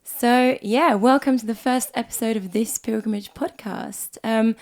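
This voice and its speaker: A woman in her twenties from Switzerland with a slight Swiss accent, co-hosting a casual podcast chat at home, a little croaky from a cold and quick to laugh. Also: fairly high pitch, steady tone. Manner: chatty, warm, laughs often. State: hoarse from a cold. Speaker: podcast co-host. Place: small music room at home.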